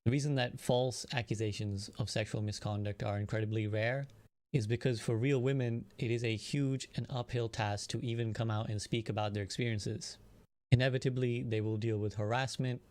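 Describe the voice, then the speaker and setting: A man, an online streamer, giving a measured, prepared personal statement in earnest, articulate, formal wording. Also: fairly low pitch, steady tone, darkish tone, dry recording.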